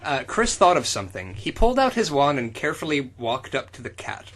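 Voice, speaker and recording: A man's voice: medium pitch, sing-song, a little fast, fairly bright tone. A man co-hosting a podcast, giving a comic dramatic reading of fan fiction in an irreverent, sweary manner and breaking off to comment. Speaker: podcast co-host.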